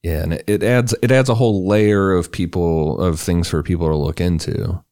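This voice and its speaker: A man co-hosting a podcast, reading a true crime story in a relaxed, loose way with casual asides. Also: low-pitched, normal speed, no echo, studio quality. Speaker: podcast co-host.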